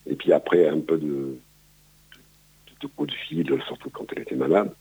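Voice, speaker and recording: Older man, very deep gravelly voice, dark husky tone, hesitant with many fillers, dull radio sound. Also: sing-song, normal speed. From Palestine. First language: Arabic. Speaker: guest. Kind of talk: radio interview, life story.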